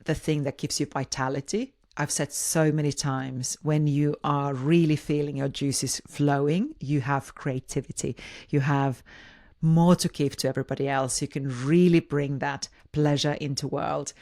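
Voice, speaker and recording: Woman, Finnish accent, low voice, creaky fry, informal solo podcast monologue, halting rephrasing. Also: fairly even intonation, a little mellow. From Finland. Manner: hesitant, rephrases and repeats words mid-sentence. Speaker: podcast host.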